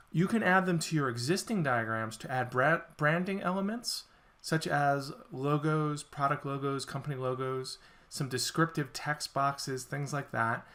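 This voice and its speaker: Man demoing software, slightly hoarse. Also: fairly high pitch. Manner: relaxed explanatory walkthrough with self-corrections. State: slightly losing his voice, clearing throat. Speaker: software product presenter. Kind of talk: screencast software demo.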